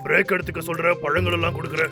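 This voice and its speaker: கரகரப்பான குரல் அண்ணன் கரடி. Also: male voice, fairly high pitch, flat delivery, rapid-fire, over music. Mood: suspicious. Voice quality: gravelly, husky. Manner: blunt, questioning. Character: suspicious, bossy elder-brother cartoon bear. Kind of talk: dubbed children's cartoon acting.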